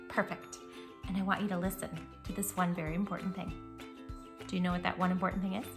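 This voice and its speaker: A woman's voice: medium pitch, creaky. A woman hosting a children's read-aloud, talking affectionately and expressively straight to the young listener. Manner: affectionate, addressing the child listener directly and intimately. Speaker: children's storytime host and read-aloud reader. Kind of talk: children's storytime talk and picture-book read-aloud.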